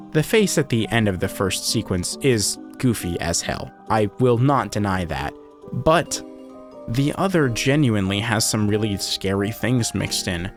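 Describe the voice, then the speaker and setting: Low-voiced man narrating a video essay over music. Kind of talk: scripted video essay narration, analytical commentary.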